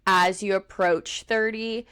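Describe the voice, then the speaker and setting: Twenties woman co-host, loose and chatty, leans on "like", laughs easily, medium pitch. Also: fairly even intonation, slow, a bit bright, smooth. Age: 20s. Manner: chatty, rambling, heavy use of "like", laughs often. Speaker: podcast co-host. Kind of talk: casual chat.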